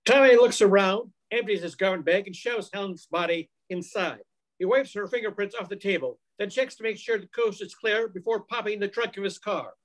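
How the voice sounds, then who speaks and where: A man's voice: high-pitched, a little fast, low-quality recording. A man in his sixties reading scripted narration for an old-time radio crime drama, recorded at home on a video call. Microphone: computer mic on a video call.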